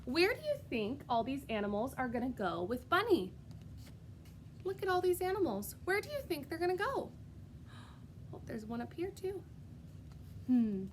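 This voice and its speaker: A woman reading a storybook aloud as a preschool teacher, expressive and sing-song, pausing to put questions directly to young children. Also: high voice, a little hiss or hum.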